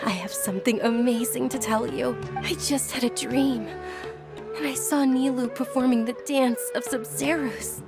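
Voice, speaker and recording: A woman voicing a gentle, earnest young noblewoman in a video game, speaking politely. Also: a little high, fairly even intonation, moderate pace, natural voice. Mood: happy, dreamy. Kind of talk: video game dialogue acting. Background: music.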